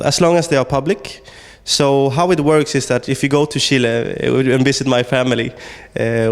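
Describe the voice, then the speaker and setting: A man's voice: medium pitch, in a large hall. A man from Sweden, a startup founder, answering interview questions hesitantly with many fillers and restarts.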